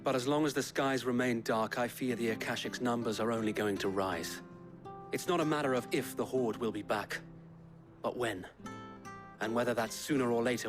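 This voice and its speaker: A man's voice: mid-range voice, close to an everyday voice. A man playing a loyal, courteous knight who commands men in a game drama, speaking formally and dutifully. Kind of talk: video game drama acting.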